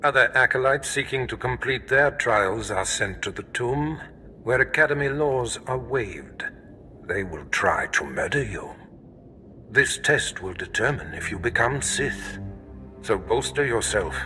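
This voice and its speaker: An actor playing a haughty, scheming older Sith lord in a video game, speaking in an imperious, ominous and formal manner. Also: male voice, medium pitch, lively intonation, a little slow, pushed and bright, soft background music. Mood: grave, commanding. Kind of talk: scripted video game drama acting.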